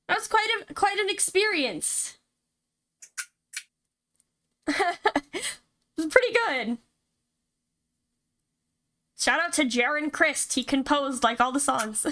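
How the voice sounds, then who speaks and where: A woman streamer reading a horror visual novel aloud and reacting to it in an expressive, casually sweary way. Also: high-pitched. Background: game music.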